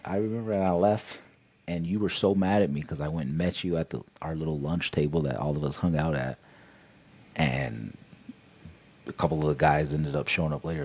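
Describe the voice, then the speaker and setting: A man's voice: low-pitched, a little fast, slightly rough. A young adult man co-hosting a podcast, telling stories in a casual chat and jumping into quoted voices. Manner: animated, jumps into quoted voices. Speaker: podcast co-host.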